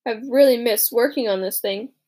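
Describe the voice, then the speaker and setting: A woman voicing an eager, intense young male inventor in an audiobook, earnest and quick to rally. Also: a little high, mellow, natural voice. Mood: fervent. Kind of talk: audiobook character reading.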